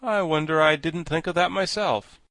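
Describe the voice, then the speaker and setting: A man's voice: a little high, moderate pace, fairly bright tone, dry recording, clean studio sound, voice pushed up. A man voicing a simple-minded, plaintive pumpkin-headed figure in a storybook, speaking in exclamations. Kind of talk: storybook character voice.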